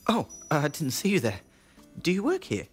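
Low-voiced, animated young student. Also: female voice, brisk, breathy edge, faint music behind, heavily acted. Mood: exasperated. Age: young adult. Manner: curious, rambling, deadpan asides. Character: naive, chatty, awkward young student sidekick. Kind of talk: science fiction comedy audio drama.

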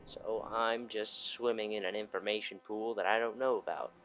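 A man's voice: fairly low pitch, steady tone, moderate pace, fairly bright tone, slight background noise, poor audio. A man giving casual, rambling, sweary commentary as he plays a video game. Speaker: gaming video host. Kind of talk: casual let's play commentary. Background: faint game music.

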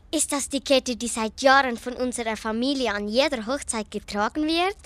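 A woman's voice: high-pitched, sing-song, moderate pace, fairly bright tone, no room sound. A young woman acting an eager, earnest girl detective in an amateur radio play. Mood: excited.

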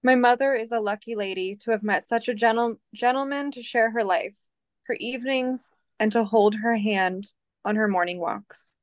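A woman's voice: a little high, moderate pace, lightly acted. A young woman playing a cautious grown daughter who hides heartbreak behind good wishes, in a screenplay table read. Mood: bittersweet.

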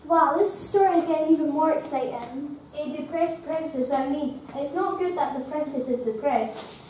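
A student plays a cheerful dragon who narrates with eager chirpiness. The voice is high and sits far from the mic. Heavy room echo and faint noise fill the background. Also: female voice, normal speed, mellow, natural voice. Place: school drama room, indoors. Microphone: far camera.

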